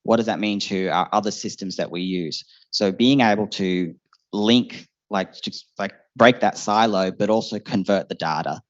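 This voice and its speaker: A man's voice: on the low side, moderate pace. A young man in his twenties answering on a discussion panel, thinking aloud with fillers and restarts. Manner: thoughtful, many fillers and restarts. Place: seminar hall before an audience. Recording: muffled lo-fi event recording.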